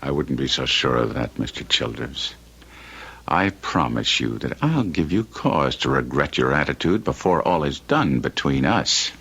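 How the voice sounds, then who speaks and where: An actor playing an arrogant, scheming Victorian gentleman in an old-time radio drama, theatrical and contemptuous. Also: very deep voice, expressive, measured pace, creaky, husky, highs cut off, exaggerated voice. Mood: smug, menacing. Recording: noisy vintage radio recording.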